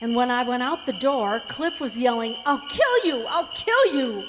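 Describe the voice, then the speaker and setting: A woman's voice: sits high, expressive, normal speed, a bit bright, a little hiss or hum. A woman playing a brassy, scheming waitress mistress in a radio mystery drama, with a slangy, emphatic, dramatic delivery. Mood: earnest. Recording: reverberant hall stage recording.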